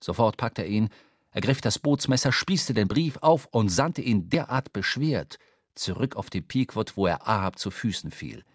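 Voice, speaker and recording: A man narrating a literary radio drama from a script, storytelling in measured, formal diction. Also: lowish voice, expressive, husky, dry recording, clean studio sound. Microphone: close studio microphone.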